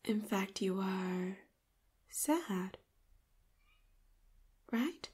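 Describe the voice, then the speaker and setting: A woman voicing a devoted, possessive young female robot assistant in an ASMR roleplay, speaking in a soft half-whisper and calling the listener Master. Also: medium pitch, animated, extremely slow, breathy edge, heavily acted. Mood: tender. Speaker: voice actor.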